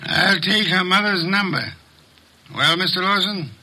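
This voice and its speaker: An actor playing a pompous, exacting master detective in an old radio drama, with formal, precise diction. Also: male voice, sits high, unhurried, sharp tone, heavily acted. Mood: stern, probing.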